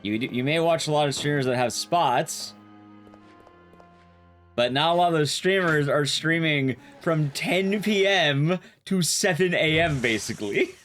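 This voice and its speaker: A young man with a loud, carrying voice chatting casually with his viewers while streaming a game, rambling and restarting sentences as he goes. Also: unhurried, soft background music. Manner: rambling, restarts sentences, lively rises and drops in pitch. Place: his room at home, soundproofed.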